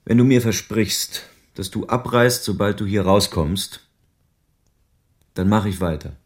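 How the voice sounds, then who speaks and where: A man's voice: lowish voice, measured pace, lightly acted. An actor playing a sober, world-weary male private detective in a radio crime drama, explaining things patiently. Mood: sober concern.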